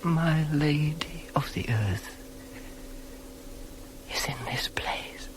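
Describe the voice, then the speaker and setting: An actor playing a proud, bitter young prince dying in agony in a classical radio tragedy, declaiming between hushed gasps and cries. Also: male voice, on the high side, lively intonation, extremely slow, whispered, rough recording. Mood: weak, drained. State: weak and in agony. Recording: hissy 1980s cassette of radio play.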